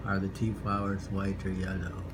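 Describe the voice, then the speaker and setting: A man reading poetry informally, unhurried and rambling, repeating lines and adding offhand commentary. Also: low-pitched, completely flat delivery. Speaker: poetry reader. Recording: noisy room ambience, amateur home recording.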